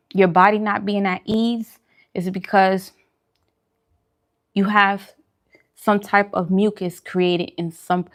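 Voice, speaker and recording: A woman's voice: mid-range voice, darkish tone. A woman with a mid-range voice talks casually to her viewers, as in a food vlog. She explains things in an informal, conversational way and stresses her points by repeating them. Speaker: food and wellness vlogger. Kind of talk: casual mukbang chat giving diet advice.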